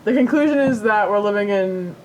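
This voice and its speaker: A woman's voice: mid-range voice, fairly quick, a little mellow, highs cut off, a little hiss or hum. A woman co-hosting a podcast, chatting casually with understated, dry reactions. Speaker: podcast co-host.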